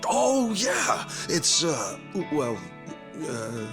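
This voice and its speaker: An actor playing an earnest, hot-blooded ex-yakuza hero in a video game cutscene, excitable and prone to stammering when sheepish. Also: male voice, medium pitch, extremely slow, over music, heavily acted.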